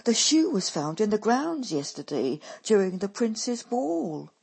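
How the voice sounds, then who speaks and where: A woman voicing a polite, slightly awkward young man, in a husky breathy voice. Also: mid-range voice, very animated, measured pace, darkish tone, voice pushed up, full character voice.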